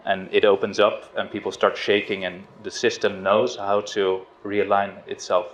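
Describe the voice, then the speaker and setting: A middle-aged man from the Netherlands giving an informal spiritual talk, relaxed and hesitant, with restarts and soft laughs. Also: fairly low pitch, moderate pace. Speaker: spiritual teacher. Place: outdoors on a river boat. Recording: roomy, dull lo-fi sound with faint noise.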